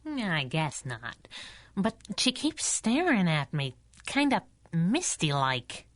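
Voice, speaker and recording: A woman's voice: fairly low pitch, sing-song, slow pace. A woman playing a brassy, jealous superhero sidekick in a 1930s-style radio serial, sassy and slangy. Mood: suspicious.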